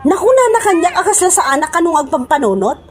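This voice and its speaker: Very high-pitched, huffy Ilocano comedy-drama woman. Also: sing-song, fast, a little hiss or hum. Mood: indignant. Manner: melodramatic, huffy, snapping. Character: brash, scornful woman quitting her job for politics.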